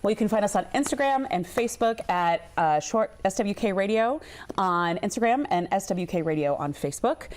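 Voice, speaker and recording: A woman's voice: mid-range voice, lively intonation, brisk, a little mellow. A woman being interviewed in a TV studio, talking in an enthusiastic, casual and emphatic way, repeating phrases. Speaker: interview guest, theater company co-owner.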